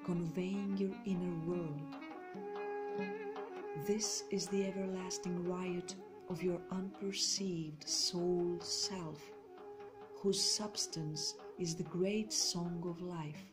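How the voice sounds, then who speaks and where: Female meditation guide: lowish voice, very unhurried, soothing and deliberate, over clearly audible music. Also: expressive. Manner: soothing, measured, deliberate phrasing with pauses.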